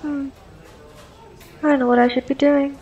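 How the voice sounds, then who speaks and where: A woman's voice: high voice, little pitch movement, normal speed, darkish tone, muffled recording, soft background music, natural voice. A woman playing an anxious, socially awkward young woman with a crush in an ASMR roleplay, stammering and rambling nervously. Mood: anxious musing. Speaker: audio roleplay performer. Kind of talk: ASMR girlfriend roleplay acting.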